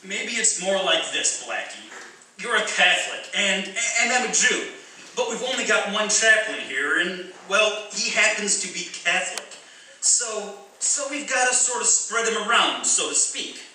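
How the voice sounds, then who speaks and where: A man playing a gentle, thoughtful soldier in a radio play, speaking haltingly. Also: sits high, sing-song, full character voice. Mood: earnest, hesitant. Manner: hesitant, halting. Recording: very echoey hall, distant mic, faint noise.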